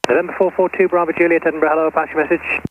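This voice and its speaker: A man's voice: fairly high pitch, little pitch movement, rapid-fire. A man working as an air traffic controller, giving procedural instructions over the radio in a clipped, courteous manner. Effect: air traffic radio transmission. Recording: narrowband aviation radio with clear noise.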